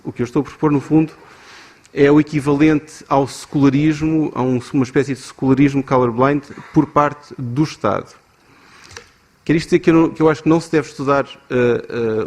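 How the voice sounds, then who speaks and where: Man w/ euro portuguese accent, mid pitch, measured academic talk at a conference, argues his point, some hesitations. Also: steady tone, darkish tone. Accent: European Portuguese. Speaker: conference speaker.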